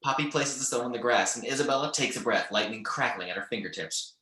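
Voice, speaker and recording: A man's voice: mid-range voice, fast, sharp tone. A man reading action descriptions as narrator in an amateur screenplay table read.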